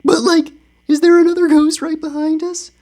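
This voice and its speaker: A man's voice: very high voice, flat delivery, moderate pace, darkish tone, voice pushed up. A man voicing a jittery, cowardly teenage boy who stammers and keeps saying like and man. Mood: scared. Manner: stammering, says like and man constantly. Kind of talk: dramatic comic reading.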